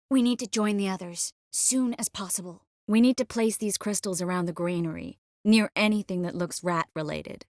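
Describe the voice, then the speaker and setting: An earnest, quick-witted young sorceress from a fantasy video game acted by a woman. She speaks with tenderness at a middling pitch, in dramatic dialogue. The sound is dry and close, free of any room. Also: no echo, close to an everyday voice. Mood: urgent.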